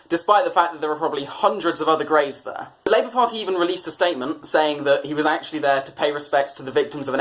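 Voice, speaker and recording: A man's voice: a little high, fairly bright tone, poor audio. A British man presenting satirical commentary on newspapers in a sardonic, mock-exasperated way. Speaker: comedy presenter.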